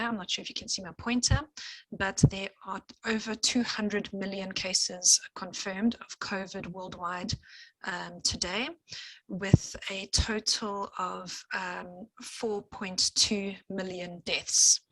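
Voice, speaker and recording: A woman doctor from Johannesburg, quickly and clearly explaining science in a presentation, with a few ums. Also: medium pitch, a bit bright, no echo, low-quality recording. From Johannesburg, South Africa. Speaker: medical doctor and researcher giving a presentation. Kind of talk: informative presentation with screen sharing.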